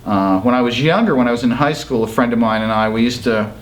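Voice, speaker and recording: Halting, rambling middle-aged man. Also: medium pitch, a little hiss or hum, low-quality recording. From Massachusetts, USA. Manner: rambling, halting with many fillers. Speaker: session bassist. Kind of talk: interview storytelling.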